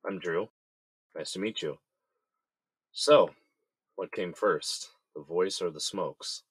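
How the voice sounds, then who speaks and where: A man's voice: low voice, slow pace, breathy edge, no echo, studio quality, full character voice. An actor playing a guarded, bitter man in jail who feels betrayed, speaking tersely in an audio drama. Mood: guarded, civil.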